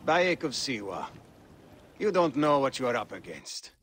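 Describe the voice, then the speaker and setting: An actor playing a weary, guarded rebel fighter on the run, speaking in a measured, dramatic way in a game cutscene. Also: male voice, mid-range voice, big pitch swings, fairly bright tone, slight background noise. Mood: grave, conspiratorial.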